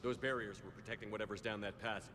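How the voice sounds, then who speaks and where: An actor playing a resolute military squad commander in a video game, with an urgent, commanding delivery. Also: male voice, fairly low pitch, fairly even intonation, rapid-fire, crowd noise, close to an everyday voice. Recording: game audio buried in loud battle noise.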